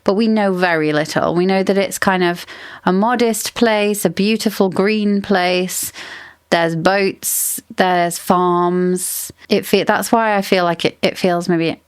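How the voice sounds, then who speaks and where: A woman's voice: on the low side, fairly even intonation, slow, clean tone, no room sound, clean studio sound. Rambling woman musing about a book. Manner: thinks aloud, rambling, lots of "like" and hedging. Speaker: book podcast host. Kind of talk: casual book discussion.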